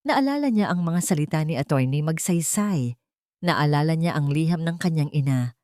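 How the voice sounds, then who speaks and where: A woman's voice: sits low, animated, normal speed, dark tone, dry recording. Woman narrating a dramatic story, close dry sound.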